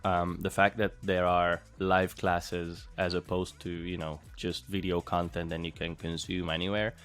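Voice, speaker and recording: A man from Hungary with a low, level voice that moves little in pitch. He answers interview questions in a relaxed, thoughtful way, often pausing with fillers and starting sentences over. Soft music plays faintly underneath. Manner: thoughtful, with frequent fillers and restarts. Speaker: interviewee, former bootcamp student.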